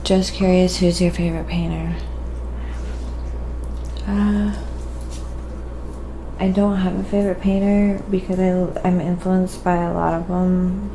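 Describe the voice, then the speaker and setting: A soft-spoken woman hosting a quiet ASMR painting livestream, musing aloud in a relaxed, rambling, casual way and often slipping toward a whisper. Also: lowish voice, takes it slowly, pauses often, background noise.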